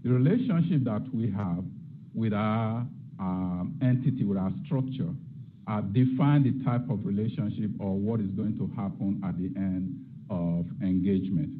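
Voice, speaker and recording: A man's voice: on the low side, moderate pace, narrow, tinny audio. A middle-aged man from Nigeria, giving an academic lecture and posing rhetorical questions as a guest lecturer. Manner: reflective, asks rhetorical questions.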